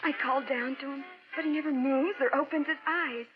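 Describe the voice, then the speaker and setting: An actress plays an anxious American wife, pleading and theatrical in a vintage radio horror drama. Her voice is very high, on a dull old recording with music behind. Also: a little fast, natural voice. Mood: worried, pleading. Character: anxious, pleading young wife of a buried man.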